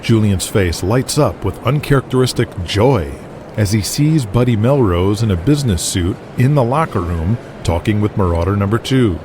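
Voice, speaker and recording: A man's voice: fairly low pitch, animated, normal speed, background noise. A man reading scripted, dramatic narration as the narrator of an audio drama.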